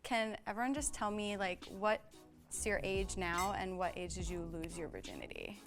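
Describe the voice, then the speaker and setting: A woman's voice: lowish voice, very animated, normal speed, faint music behind. Chatty young woman, hesitant and giggly. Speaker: dating show contestant. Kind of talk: casual chat on camera.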